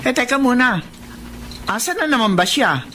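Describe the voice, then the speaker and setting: A woman's voice: on the high side, animated, normal speed, fairly bright tone, slight background noise. A Filipino woman playing a blunt, doting grandmother, sarcastic and probing, in a radio drama. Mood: suspicious, scornful. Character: blunt, doting older grandmother, suspicious of daughter-in-law.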